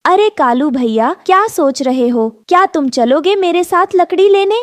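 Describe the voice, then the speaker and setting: A woman's voice: very high voice, animated, normal speed, no echo, voice pushed up. A woman voicing a kind, hardworking mother bird in a cartoon fable, earnest and motherly. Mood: caring, earnest. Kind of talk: animated fable dialogue.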